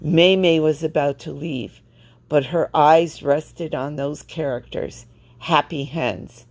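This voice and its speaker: A woman reading a children's storybook aloud with expressive, deliberate phrasing. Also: low-pitched. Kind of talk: read-aloud storybook narration.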